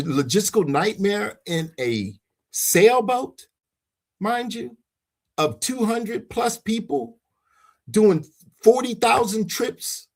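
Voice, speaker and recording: A man's voice: sits high, a little mellow. A man preaching informally and giving personal testimony as he leads a religious discussion, emphatic and repeating phrases for weight. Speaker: host leading a religious discussion.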